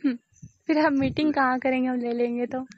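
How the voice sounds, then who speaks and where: A woman with a high voice talks casually on a private call. Her manner is coy and hesitant. The sound is dry and dull, like a lo-fi phone recording. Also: steady tone, a little fast, no echo.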